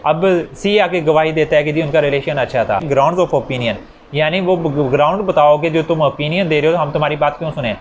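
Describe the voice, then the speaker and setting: Higher-voiced Pakistani male law lecturer, noisy recording. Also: muffled recording. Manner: switches into English legal terms, reading statutes slowly. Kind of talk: explanatory lecture with examples. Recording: clear background noise.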